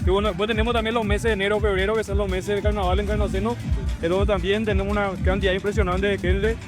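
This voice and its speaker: A man with a Paraguayan accent, a city councilman speaking in a measured, official way in an outdoor interview. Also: sits high, little pitch movement. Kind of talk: street interview answers. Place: outdoors at a street festival. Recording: outdoor festival with loud music.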